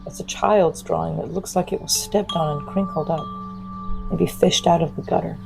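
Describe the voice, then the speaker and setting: An actress playing a wild, wary young woman, speaking a reflective, hushed and halting monologue in an intimate urban fantasy audio drama. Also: lowish voice, steady tone, vocal fry, music in the background. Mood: tender wonder.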